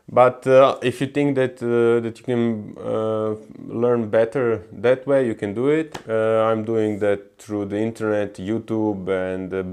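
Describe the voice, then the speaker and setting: A young man from Croatia with a Croatian accent, talking casually to camera about gardening, halting often with fillers and restarts. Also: medium pitch, darkish tone, clean tone. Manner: hesitant, many fillers and restarts. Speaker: gardening vlogger.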